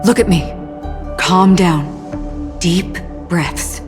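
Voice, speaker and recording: An actress voicing a calm, protective woman companion in a video game, with a terse manner. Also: lowish voice, extremely slow, over music. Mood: concerned, steadying. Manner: terse, steadying. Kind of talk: scripted game voice acting.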